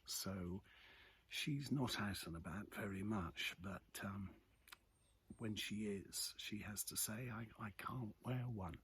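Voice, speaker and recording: A British man giving a casual, off-the-cuff commentary on the news in a reflective, halting way. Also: lowish voice, fairly bright tone. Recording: clearly noisy ambient background.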